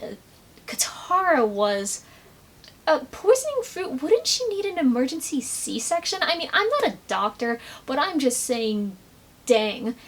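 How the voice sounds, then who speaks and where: A woman giving a casual, animated commentary recap with sarcastic asides. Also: high-pitched. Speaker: video commentator.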